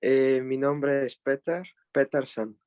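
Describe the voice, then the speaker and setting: A man's voice: mid-range voice, level tone, unhurried, clean tone, dry recording, highs cut off. A man from Bulgaria making casual introductions as a newcomer to a group. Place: online video meeting.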